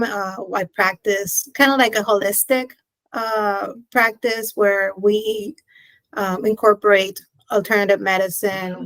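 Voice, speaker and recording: A woman from Puerto Rico, speaking with a Puerto Rican accent, talks as a guest doctor on a podcast. She speaks in a thoughtful, measured way and often pauses to find her words. Her voice sits at a middle pitch and is recorded dry and close. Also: dry recording, rough recording. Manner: measured, thoughtful, with frequent ums and restarts. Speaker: physician guest. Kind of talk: podcast interview answers.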